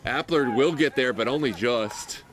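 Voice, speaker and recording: A man doing loud live play-by-play for an amateur soccer match from the sideline, his voice lifting on attacking moves. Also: mid-range voice, normal speed. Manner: loud, excitable calls on attacks. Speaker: play-by-play commentator. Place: outdoors at a soccer field sideline. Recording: outdoor field with crowd noise. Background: crowd.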